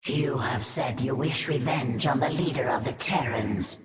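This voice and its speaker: A woman's voice: extremely low pitch, a little slow. A proud alien brood mother. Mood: defiant. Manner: haughty, defiant. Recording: echoey game cinematic with music.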